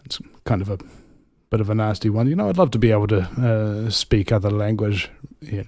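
A man's voice: fairly low pitch, fairly quick, a little mellow, dry recording, studio quality. A man from New Zealand hosting casual live talk radio, rambling along with theatrical emphasis on some words.

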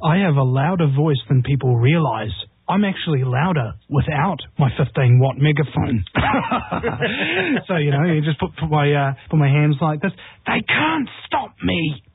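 A man from New Zealand speaks with a husky voice that sits a little high, in combative, rousing talk-radio style. He comes through a thin, tinny phone line in a dry, close sound. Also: sing-song, a little slow, dry recording. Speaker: radio show host appearing as guest. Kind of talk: impassioned talk radio conversation.

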